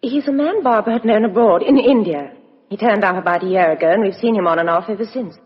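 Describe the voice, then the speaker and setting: An actress playing a cool, outspoken, sharp-minded young Englishwoman in a 1950s radio drama. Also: mid-range voice, fast talker, close to an everyday voice. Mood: candid. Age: young adult. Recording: muffled vintage radio broadcast, reverberant studio.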